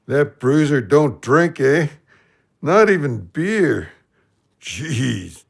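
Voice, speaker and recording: A man's voice: on the high side, very animated, creaky, heavily acted. A man acting in an audio play as a dim, good-natured, hungover drinking buddy with a Canadian accent and a plaintive manner. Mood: plaintive, puzzled. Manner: slow-witted, plaintive, ungrammatical.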